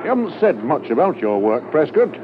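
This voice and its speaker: A man's voice: on the high side, sing-song, a little fast. Genial businessman, crackly narrow-band radio. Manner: hearty, hesitant small talk. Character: genial, chatty travelling businessman, fellow ship passenger. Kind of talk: 1950s radio drama acting. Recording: noisy narrow-band 1950s radio transcription.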